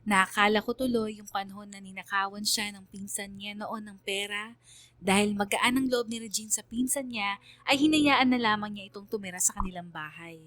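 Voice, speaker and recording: A woman's voice: medium pitch, normal speed, fairly bright tone, no echo, close to an everyday voice. A woman playing a reflective, loyal young woman who narrates her story in a radio drama, earnest and confessional. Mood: regretful, pensive.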